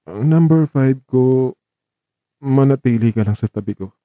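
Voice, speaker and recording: A man with a Filipino accent acting a gentle, dying young man in a radio drama, soft and wistful as he talks tenderly to his lover. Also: medium pitch, a little slow, no room sound, lightly acted. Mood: wistful, playful.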